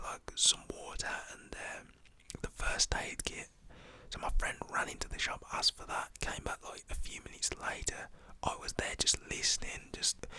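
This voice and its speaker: Bright, lively whispered storyteller. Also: very high voice. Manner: casual rambling with filler "like", slangy.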